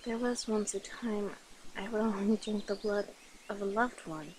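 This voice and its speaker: A woman playing a flirty, affectionate, slightly nervous vampire in an intimate ASMR roleplay, speaking softly, coyly and hesitantly to the listener. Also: mid-range voice, slow, slight background noise, lightly acted. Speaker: voice actor in an audio roleplay.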